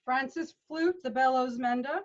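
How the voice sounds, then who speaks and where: A woman's voice: high-pitched, normal speed, natural voice. A woman playing a patient male leader of amateur players in a Shakespeare read, instructing expressively over a video call. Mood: directing. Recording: dull video-call audio.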